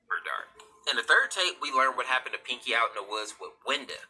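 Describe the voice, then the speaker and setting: Fast, very bright male narrator. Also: mid-range voice, lively intonation, husky. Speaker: lore video narrator. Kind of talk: explanatory fan commentary.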